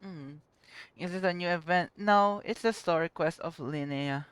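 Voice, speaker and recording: Slow-paced Filipino game streamer. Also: mid-range voice, fairly bright tone, clear, resonant tone, no room sound. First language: Tagalog. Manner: code-switching, halting, trailing off. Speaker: game livestreamer. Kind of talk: casual live reaction commentary. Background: game music.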